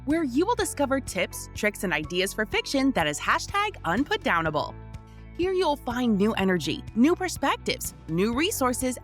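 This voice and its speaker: A woman's voice: fairly high pitch, fairly bright tone. A woman announcer reading a scripted promotional intro for a podcast. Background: music.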